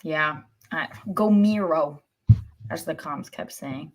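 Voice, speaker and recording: Female podcast co-host, lower-pitched, measured and a little hesitant, informal match analysis. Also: rough recording. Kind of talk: casual soccer match analysis.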